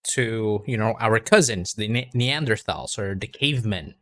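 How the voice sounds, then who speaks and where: A man tutoring English in a casual conversation lesson, relaxed and encouraging. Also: fairly low pitch, moderate pace. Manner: relaxed and encouraging, many "you know"s.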